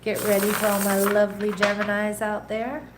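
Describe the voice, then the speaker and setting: A woman hosting a live tarot reading, a little congested and nasal, chatting casually and greeting viewers by name between soft laughs. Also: medium pitch, completely flat delivery, normal speed. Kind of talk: casual live-stream chat. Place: home in a rainy tropical place. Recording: echoey room, faint background noise.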